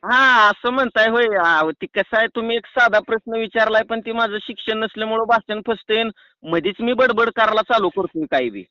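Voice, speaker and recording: A man's voice: sits high, normal speed, sharp tone, no echo. A man with a rural Maharashtrian accent making a prank phone call, bantering and protesting in a cheeky, rambling way. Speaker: prank caller. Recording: muffled lo-fi phone call recording.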